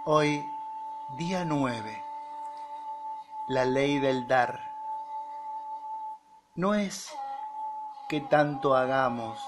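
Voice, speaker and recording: A man with a mid-range voice guides a meditation very slowly. He speaks in short, deliberate phrases and leaves pauses between them. Music plays clearly behind his voice. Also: medium pitch, expressive. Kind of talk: slow guided meditation narration.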